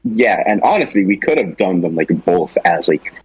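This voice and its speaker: A man's voice: sits low, sing-song, moderate pace, poor audio. A man chatting casually as a co-host, talking through the characters of a series. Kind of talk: casual chat.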